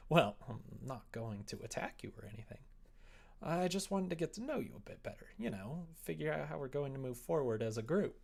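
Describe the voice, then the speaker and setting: A man's voice: a little high, lively intonation, a little fast, creaky, darkish tone. Man acting visual novel protagonist, earnest manager, apologetic, tentative, encouraging. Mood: awkward, apologetic. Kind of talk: visual novel read aloud.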